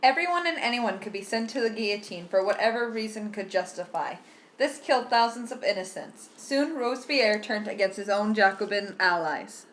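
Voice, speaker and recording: A woman's voice: mid-range voice, animated, moderate pace, a bit bright, a little hiss or hum. A woman reading scripted history narration as the narrator of a puppet show.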